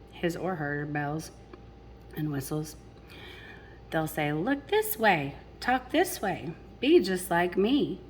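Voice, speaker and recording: Woman doing storytime for little kids, really unhurried and chatty, slightly low voice. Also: a little mellow, slight background noise. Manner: addresses young listeners with questions and explanations. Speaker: storybook reader. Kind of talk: expressive children's picture book read-aloud with chatty asides.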